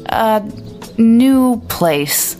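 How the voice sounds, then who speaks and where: A woman voicing an irritable, scornful young man who scolds his sister, pitched a little above her narration, with faint music behind. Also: lively intonation, unhurried, a little mellow, close to an everyday voice. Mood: scheming.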